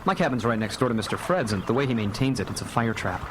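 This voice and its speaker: Protective lawyer dad male actor, radio drama: quick, articulate, unbending, clear background water noise. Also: medium pitch, lively intonation, close to an everyday voice. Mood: confident. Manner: firm, articulate, slightly formal. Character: principled, protective father and attorney, firm and dryly confident. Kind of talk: live radio drama acting. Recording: noisy live radio play, watery ambience.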